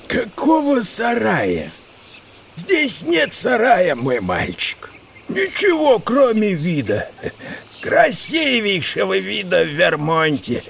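An actor playing a stubborn, hearty old country uncle in an audio drama, emphatic and utterly sure of himself. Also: male voice, sits high, vocal fry, fairly bright tone, slight background noise, heavily acted. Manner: emphatic, drawn-out, cocksure delivery.

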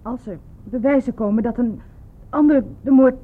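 An actress plays an anxious woman sleuthing on her own, defiant yet hesitant and pleading. Her voice is highish and husky, caught on a tinny, phone-like radio play recording. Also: normal speed, close to an everyday voice. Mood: distressed, pleading.

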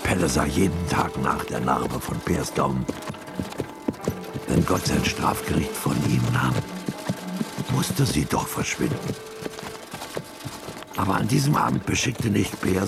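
Sehr tiefer, knarrender Erzähler. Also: male voice, rumbling low, big pitch swings, a little slow, creaky, pauses often. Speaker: narrator. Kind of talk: scripted literary radio drama narration. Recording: prominent music and sounds behind narration.

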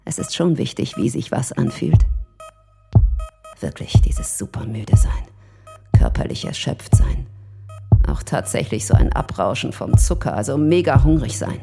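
A woman's voice: very deep voice, big pitch swings, a little slow, vocal fry. A woman speaking in a spoken-word sound collage about everyday work. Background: loud music.

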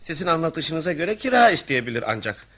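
A man's voice: on the high side, big pitch swings, brisk, bright, forceful tone, heavily acted. An actor in a radio play voicing an earnest, exasperated male clerk, polite and insistent, who stands up for his friend. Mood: pleading, indignant. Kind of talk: radio theatre acting and first-person narration.

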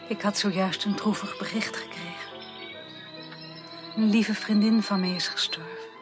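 A woman's voice: mid-range voice, steady tone, normal speed, over music, natural voice. An actress playing a melancholy, broken young upper-class woman in a radio drama, formal and resigned, often subdued almost to a whisper. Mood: sorrowful, resigned. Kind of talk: 1980s Dutch radio drama acting.

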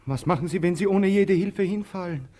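An actor playing an earnest, questioning tramp with an insistent, inquisitive manner in a radio drama. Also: male voice, high voice, fairly quick, warm tone. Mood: insistent, curious. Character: earnest, questioning male tramp, bossy yet helpful. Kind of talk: theatrical radio drama acting.

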